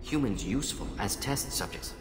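Man playing analytical alien scientist, video game, clipped matter-of-fact delivery, reverberant hall, clear music behind. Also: on the low side, animated, moderate pace, close to an everyday voice. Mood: clinical. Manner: clipped telegraphic phrases, clinical, matter-of-fact.

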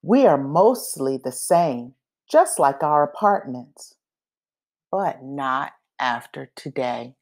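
A woman reading a children's picture book aloud expressively, pausing between phrases and acting out the dialogue. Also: extremely low pitch, lively intonation, darkish tone. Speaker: storybook reader.

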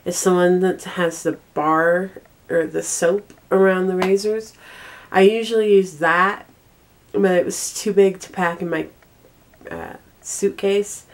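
A young woman vlogging casually with a cold, speaking in a halting, stop-and-start way in a bedroom. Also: fairly low pitch. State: congested with a cold. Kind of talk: casual monthly favorites vlog. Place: small bedroom, indoors.

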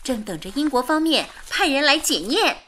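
High, bright, affectionate radio colleague. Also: female voice, sing-song, normal speed, a little hiss or hum, full character voice. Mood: informative. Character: affectionate female radio colleague, gently scolding her suitor. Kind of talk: radio drama acting. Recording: radio drama with soft music behind.

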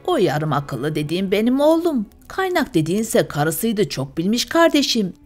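A woman's voice: big pitch swings, moderate pace, a little mellow. A woman voicing a wicked, scolding old witch mother in a storybook tale, with music behind. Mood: shrieking scorn. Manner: shrill scolding.

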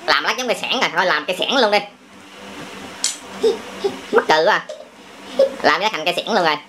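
A man's voice: high voice, animated, brisk. A man with a southern Vietnamese accent chats casually and playfully while busy with toys, teasing and often repeating short phrases. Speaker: father playing along on a family toy channel. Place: indoor room at home, by a table of toy bricks. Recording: noisy home camera audio, clicking plastic bricks.

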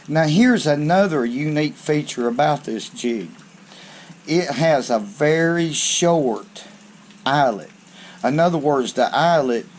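A man's voice: mid-range voice, slight background noise. A man, hosting a fishing channel and showing tackle at a table in a homey, folksy way, saying "okay" often. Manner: slow, folksy, down-home talk with frequent "okay". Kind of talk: hands-on tackle demonstration. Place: covered outdoor porch or garage on a rainy day.

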